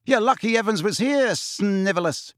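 A brash, arrogant teenage schoolboy, acted out by a man reading an audiobook. He is high-pitched and very animated, swaggering and taunting, with a close, dry sound. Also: normal speed, no echo. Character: cocky, arrogant, showy teenage schoolboy bully.

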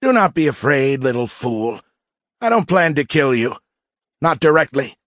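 A man's voice: mid-range voice, sing-song, normal speed, fairly bright tone, no room sound, studio quality, pitched above the performer's own voice. A man voicing a furry young alien cadet leader in an audiobook, a blustering, easily rattled bully. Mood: gloating, mocking. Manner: gloating, blustering. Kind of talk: audiobook character acting.

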